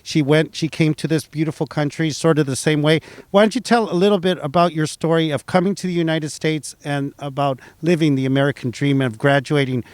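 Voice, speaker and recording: A man of Salvadoran background who works at a high school, interviewing a guest with eager enthusiasm and a few stumbles. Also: fairly even intonation, fairly quick, hardly any pauses, no room sound. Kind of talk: interview hosting.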